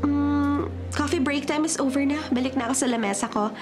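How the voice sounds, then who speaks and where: A woman's voice: high voice, fairly quick, music in the background. A Filipino woman playing a friendly, gossipy office co-worker in a radio drama, mixing English slang into breezy chat. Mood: chatty, curious. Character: chatty, friendly female office co-worker who senses spirits.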